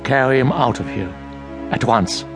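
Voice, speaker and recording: A man's voice: mid-range voice, normal speed, loud music behind the voice, close to an everyday voice. A man playing a fierce old abbot in a radio drama, cold and commanding. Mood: cold, forbidding. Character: fierce, stern old abbot, withered but strong.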